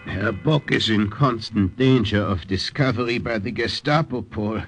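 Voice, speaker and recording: A man acting a cautious middle-aged Dutch uncle in a wartime radio drama, speaking with measured care. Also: on the low side, over loud music, natural voice. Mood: tense, hushed. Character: cautious Dutch uncle sheltering a secret agent. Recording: very noisy old radio recording.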